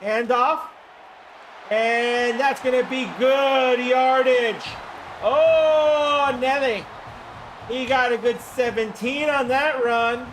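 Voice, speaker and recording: A man calling a simulated football game on a live stream, hoarse from the flu, chatting with viewers and breaking into excited shouts on big plays. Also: very high voice, slow, a little hiss or hum. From Florida. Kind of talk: live-stream play-by-play commentary.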